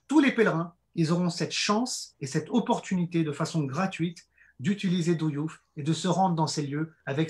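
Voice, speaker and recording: Man, hoarse, slightly high-pitched, earnest, halting, presentation talk. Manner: earnest, halting with frequent fillers and restarts. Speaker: project presenter.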